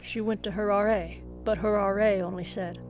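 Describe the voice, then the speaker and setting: A woman's voice: mid-range voice, steady tone, normal speed, darkish tone, faint music behind. A woman narrator reading a suspenseful scripted horror story.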